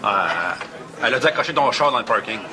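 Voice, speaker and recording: An actor with a Québécois accent playing a teasing, boastful man who fires off quick sarcastic jokes in a sitcom. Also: medium pitch, expressive, close to an everyday voice. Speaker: sitcom character, wisecracking friend. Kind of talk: sitcom acting. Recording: TV sitcom audio with loud noise.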